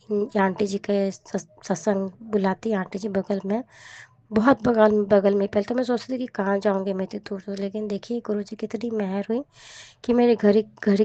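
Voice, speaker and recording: Hoarse woman w a cold, mellow kinda dark voice, telling her faith story, thanks again n again, dull dry sound. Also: medium pitch, dry recording. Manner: earnest, grateful, repeats thanks over and over. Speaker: devotee sharing a testimony. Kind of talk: devotional testimony.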